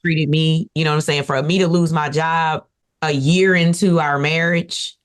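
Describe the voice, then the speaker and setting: A woman with a raspy voice, talking casually and playfully about her husband on a podcast. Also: sits low, normal speed. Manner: animated, playful, teasing. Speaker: podcast guest, wife. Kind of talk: casual chat.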